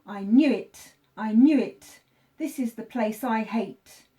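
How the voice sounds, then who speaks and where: A woman's voice: a little high, slow pace, mellow. A woman voicing an indignant, distressed pet cat in a children's story. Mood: dismayed.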